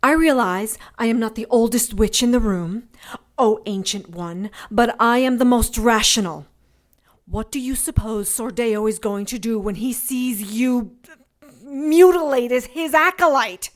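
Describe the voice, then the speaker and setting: High, deliberate young witch, played by a woman, indignant and exasperated. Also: lightly acted. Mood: scolding. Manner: clipped, indignant, scolding. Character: sharp, rational young witch, exasperated schemer. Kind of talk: audio drama acting.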